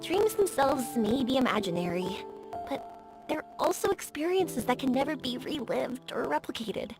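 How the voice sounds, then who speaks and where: A woman playing a bubbly, warm-hearted young woman in an anime-style video game, gushing and earnest in her delivery. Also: high-pitched, big pitch swings, normal speed, music in the background. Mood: dreamy, earnest.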